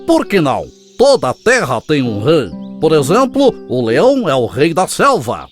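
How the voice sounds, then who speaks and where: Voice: mid-range voice, huge pitch swings, moderate pace. An actor voicing an arrogant, boastful frog who wants to be king, in a pompous, commanding manner for a children's cartoon fable. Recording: music behind the voice. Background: music.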